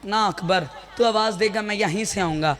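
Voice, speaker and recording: A man's voice: fairly bright tone, faint music behind. A man voicing a grieving father who begs his grown son tearfully. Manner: tearful, low and pleading. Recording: echoey hall.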